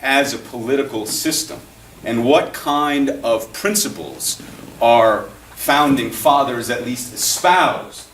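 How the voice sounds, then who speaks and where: A man, a public defender, giving a relaxed, plain-spoken public lecture. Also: mid-range voice, slow pace, slight background noise. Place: school hall. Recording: echoey room, distant mic.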